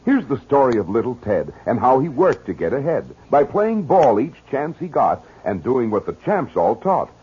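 A man's voice: mid-range voice, big pitch swings, moderate pace, hardly any pauses, breathy edge. A man reading a 1950s radio commercial in an upbeat, sing-song way, on a dull, lo-fi old recording. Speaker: commercial announcer.

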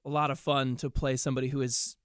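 Smooth-voiced male actor being interviewed. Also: mid-range voice, flat delivery, fast talker, no echo, clean studio sound. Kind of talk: casual interview chat.

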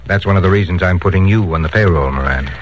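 A businesslike male gang boss played by a radio actor, low-voiced, controlled and streetwise. He speaks with authority in a 1940s crime drama. The old broadcast sounds dull, with faint noise. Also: fast talker, close to an everyday voice. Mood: in command.

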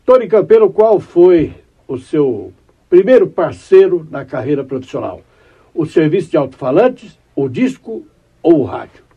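A man hosting a podcast, introducing his guest and asking interview questions in a measured, formal way. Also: a little high, sing-song, slightly rough, dull audio.

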